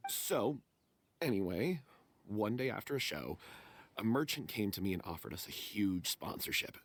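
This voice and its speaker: A man's voice: fairly low pitch, lively intonation, moderate pace, a little hiss or hum. A man playing a guilt-ridden member of a theater troupe, speaking in remorseful, dramatic confession. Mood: regretful, explaining. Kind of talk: dramatic voice acting.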